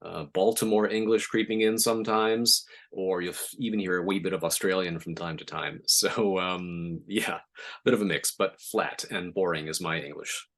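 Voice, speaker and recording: Relaxed Canadian man, low voice. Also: normal speed. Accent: Canadian, with some Eastern American. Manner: relaxed, self-deprecating, with fillers. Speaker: webinar trainer. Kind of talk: casual talk on a video call. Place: home or office, on a video call.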